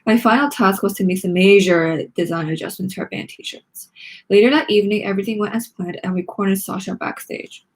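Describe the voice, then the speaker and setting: A woman's voice: mid-range voice, fairly quick, rough recording. Woman host reading a story aloud. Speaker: read-along host and narrator. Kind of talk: expressive children's book read-aloud.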